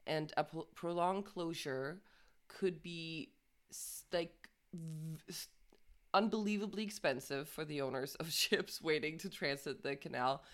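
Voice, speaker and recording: A woman's voice: deep voice, measured pace. A woman co-hosting a podcast, reading news casually, stumbling over words, swearing and laughing often. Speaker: podcast co-host.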